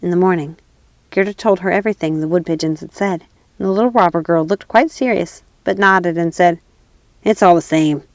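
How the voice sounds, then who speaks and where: A woman's voice: on the low side, a little fast, no room sound. A woman narrating a classic fairy tale as a storybook reader.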